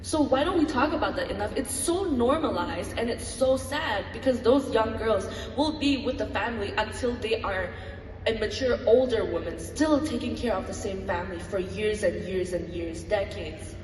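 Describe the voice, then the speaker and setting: A woman's voice: a little high, lively intonation, normal speed, darkish tone, slight background noise. A young woman, giving an earnest, reflective personal talk to an audience. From Malaysia. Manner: earnest, reflective, with light laughs. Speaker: student speaker. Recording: echoey hall from far off.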